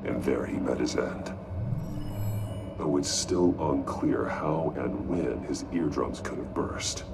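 A stern masked vigilante from a video game, with an extremely low, gravel-heavy growl. He speaks tersely and methodically, like a grim detective working a case. The voice sits back from the mic amid clear noise. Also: far from the mic, loud music behind the voice, heavily acted. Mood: grim, analytical. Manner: terse, deliberate, analytical.

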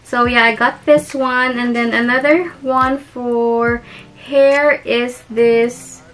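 A woman's voice: high-pitched, fairly bright tone, low-quality recording. A young woman with a Filipino accent, talking casually through a beauty haul with lots of ums and little giggles. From Philippines. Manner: chatty, giggly, with many ums and restarts. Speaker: beauty vlogger.

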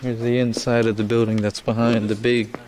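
A man's voice: mid-range voice, flat delivery, brisk. A young man filming himself exploring an abandoned factory, talking casually with a self-mocking, easygoing manner. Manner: laid-back, self-mocking, chuckling. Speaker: vlogger filming an urban exploration. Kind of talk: casual walking commentary. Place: outdoors and inside an abandoned factory. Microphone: handheld camera mic. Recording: camera mic with outdoor noise.

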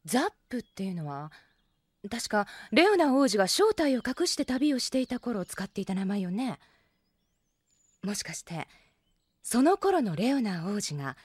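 A curious, perceptive young female adventurer in a fantasy audio drama. Her voice is breathy and recorded dry and close. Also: fairly high pitch, very animated, moderate pace, dry recording. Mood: inquisitive. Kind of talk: anime audio drama acting.

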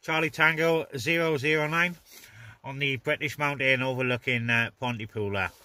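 A man's voice: mid-range voice, fairly even intonation, moderate pace, sharp tone. A man operating a CB radio in casual on-air chat, with informal, chummy sign-offs. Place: inside a parked car on open moorland. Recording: noisy in-car recording with clicks.

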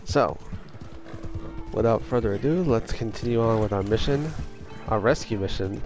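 A young man with a hoarse voice chatting casually over his gameplay as a gaming host, swearing when things go wrong. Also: on the low side, normal speed, over music. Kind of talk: casual let's-play commentary.

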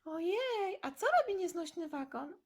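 Eager little blue girl creature, voiced by a woman: very high, animated, childlike, for children's toy story. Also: normal speed. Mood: curious. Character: excitable little girl Smurf, eager and apologetic.

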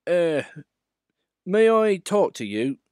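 A man's voice: high voice, sing-song, slow, a little mellow, clean tone, dry recording, pitched above the performer's own voice, exaggerated voice. Man voicing a shy eager little engine, polite, dry close recording. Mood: earnest. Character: small earnest shy male tank engine.

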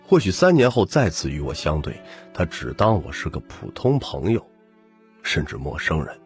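Deep husky guy reading an audiobook novel, really animated, music under it. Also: normal speed. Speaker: audiobook narrator. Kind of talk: scripted first-person novel narration.

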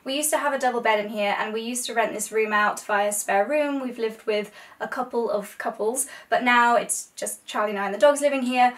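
A young English woman with a fairly high voice presents a chatty home tour. She talks informally to a camera a few steps away, so the sound is echoey and a little distant. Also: brisk, hardly any pauses. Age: young adult. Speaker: lifestyle vlogger and host. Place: rooms of a house, indoors. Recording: echoey rooms, distant mic, some noise.

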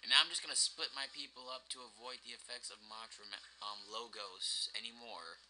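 A man's voice: mid-range voice, fairly even intonation, brisk, pushed and bright, faint music behind, poor audio. A young man giving casual, rambling gameplay commentary with sardonic, sweary complaints. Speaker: gamer, let's play host. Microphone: shared microphone, home gameplay recording.

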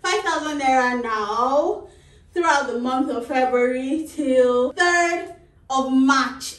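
A lively Nigerian woman in her thirties talks through a sewing lesson in a high voice and keeps checking in with "okay?". She is recorded in a small, echoey studio from a few feet back, so her voice sounds a bit distant. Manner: lively, animated, tags phrases with "okay?". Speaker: sewing tutor. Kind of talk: chatty tutorial with announcements. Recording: roomy, slightly distant.

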